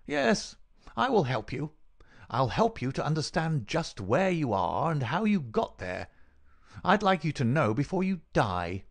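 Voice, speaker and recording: A man voicing a cruel, gloating murderer in an audiobook, sneering and mock-solicitous in a dry rasp. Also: a little high, very animated, unhurried, voice pushed up, heavily acted. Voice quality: dry, rasping. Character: gloating, cruel murderer taunting his dying victim. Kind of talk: dramatic audiobook character reading.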